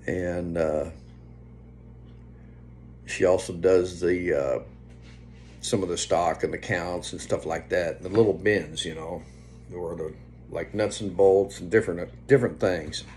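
Casual male vlogger, fifties, deep voice, meandering delivery, phone recording with slight background hum. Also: fairly even intonation, darkish tone. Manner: unhurried, meandering, trailing off. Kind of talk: casual rambling vlog chat. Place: indoors, small room at home. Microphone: phone at arm's length.

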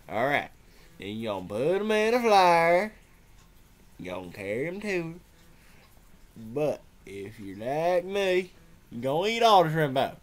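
A man voicing a slow, muddled, tipsy toy cowboy who rambles in a folksy drawl. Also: medium pitch, fairly bright tone.

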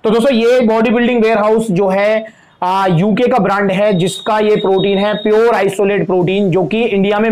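A man with an Indian Hindi accent hosting a chatty supplement review, speaking straight to his viewers as friends and pausing often on fillers. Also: high voice, low-quality recording. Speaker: supplement reviewer, channel host. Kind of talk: product review talk to camera.

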